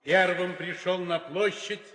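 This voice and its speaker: An actor playing a formal royal herald, making ceremonious proclamations in a 1960s theatrical audio drama. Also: male voice, high-pitched, fairly even intonation, a little slow, a bit bright. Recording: echoey hall reverb.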